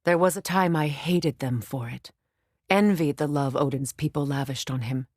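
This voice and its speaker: A woman playing a proud, guarded warrior goddess in a video game, speaking in a measured, commanding, solemn manner. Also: deep voice, no room sound, clean studio sound. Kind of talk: dramatic video game acting.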